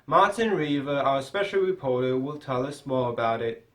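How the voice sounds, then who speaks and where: A man's voice: medium pitch, animated, normal speed, reverberant. A young man reading a scripted news report in English as the anchor. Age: young adult.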